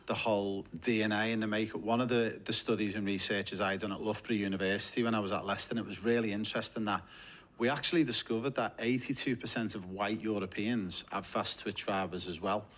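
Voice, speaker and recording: A man's voice: fairly low pitch, steady tone, fast, fairly bright tone. A man hosting a casual interview, asking conversational questions and often giving short agreeing replies. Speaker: interviewer, coach.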